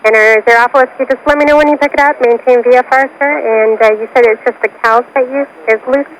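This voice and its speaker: Woman, air traffic controller, rapid clipped instructions, aviation radio, clearly noisy transmission. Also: on the high side, steady tone. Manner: clipped procedural phrasing. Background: radio static and aircraft cabin noise.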